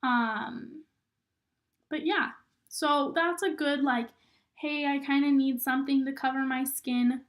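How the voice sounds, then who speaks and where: A young woman recovering from illness and a little hoarse, chatting casually through a makeup tutorial with lots of "um" and "like.". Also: high voice, fairly even intonation, takes it slowly. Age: young adult. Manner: chatty, filler-heavy, lots of "um" and "like". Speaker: rodeo queen giving makeup tips.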